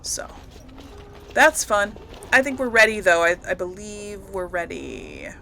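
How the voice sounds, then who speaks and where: A woman's voice: medium pitch, sing-song, a little slow, creaky, bright, forceful tone, slight background noise. A woman giving casual, chatty commentary as she plays through a video game. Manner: chatty, informal. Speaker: gamer, let's player.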